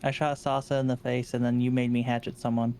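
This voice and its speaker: A man playing a calm, unrepentant murder facilitator in online game roleplay, terse and deadpan. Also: medium pitch, fast talker, vocal fry, slight background noise, lightly acted. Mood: flat denial.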